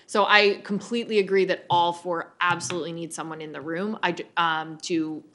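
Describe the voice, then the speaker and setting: A woman in her thirties deliberating at a public meeting, thinking aloud in a halting way. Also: fairly low pitch, fairly even intonation, sharp tone. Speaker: school board member. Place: public meeting room, indoors.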